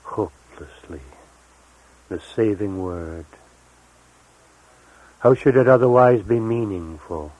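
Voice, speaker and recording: Slow, very low-voiced man reading poetry. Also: no echo, phone-quality sound. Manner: deliberate, measured phrasing with pauses. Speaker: poet. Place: live recorded reading, 1978.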